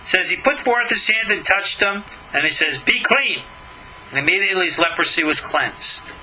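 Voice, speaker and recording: A man's voice: high-pitched, expressive, moderate pace, sharp tone, slight background noise, low-quality recording. A middle-aged or older man, a rabbi giving a religious lesson, reading a text and commenting on it in an emphatic, skeptical, questioning way.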